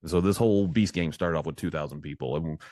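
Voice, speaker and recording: Deep-voiced male podcast host. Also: fast talker, darkish tone, dry recording, studio quality. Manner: rambling, restarts, upbeat hype. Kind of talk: casual video-call podcast chat.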